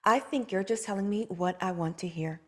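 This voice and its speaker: A woman's voice: medium pitch, brisk, close to an everyday voice. A woman reading the part of a frustrated TV writer who speaks in an earnest, pointed way. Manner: earnest, pointed, pushing back. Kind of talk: comedy table read.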